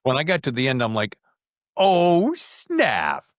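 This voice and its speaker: A man's voice: mid-range voice, huge pitch swings, takes it slowly, dry recording, clean studio sound. A man hosting a podcast interview in a casual, chatty style. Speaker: podcast host.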